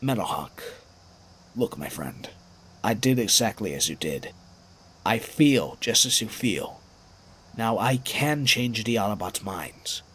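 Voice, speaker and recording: A man's voice: on the low side, animated, takes it slowly, pauses often, fairly bright tone, breathy edge, exaggerated voice. A man playing a resolute, persuasive robot civilian leader in an audio drama, earnest and oratorical. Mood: firm, persuasive.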